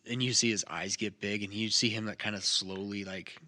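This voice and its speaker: A man's voice: lowish voice, steady tone, fairly quick, bright, forceful tone. A man narrating a tabletop game as game master, in casual, loosely improvised phrasing.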